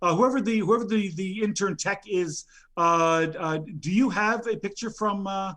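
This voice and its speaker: Man, high voice, aggrieved rambling nominee, lots of stammers and ums. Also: moderate pace, fairly bright tone. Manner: indignant, rambling, stammers with many fillers. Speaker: nominee on a comedy show. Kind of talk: improvised comedy rant.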